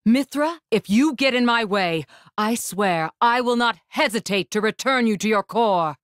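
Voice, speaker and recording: A woman voicing a proud, composed, regal warrior spirit in a video game, with formal, commanding, measured diction. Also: a little high, lively intonation, no room sound, studio quality, natural voice. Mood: composed, stern. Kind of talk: video game battle lines.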